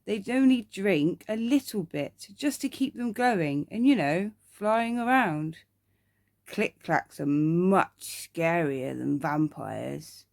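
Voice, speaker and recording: An earnest little boy who adores scary monsters, as a woman reads him in a bedtime story. He speaks slowly and solemnly, like someone who knows all about it, with a creaky edge and a close, dry sound. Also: on the low side, animated, darkish tone, dry recording, heavily acted. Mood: knowing. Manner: solemn, matter-of-fact, knowing. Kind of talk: ASMR bedtime story character.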